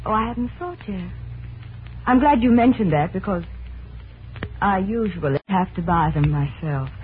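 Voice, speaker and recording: An actress playing a polite, well-to-do society wife out shopping, in a 1940s radio drama. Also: female voice, medium pitch, animated, moderate pace, slightly rough. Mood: light, polite. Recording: tinny 1940s radio broadcast.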